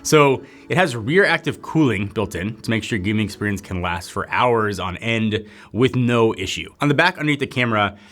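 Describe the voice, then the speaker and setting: A man's voice: on the low side, animated, fairly quick, hardly any pauses, fairly bright tone, faint music behind. A man hosting a tech video, giving a casual, chatty sponsored product review full of "like" fillers.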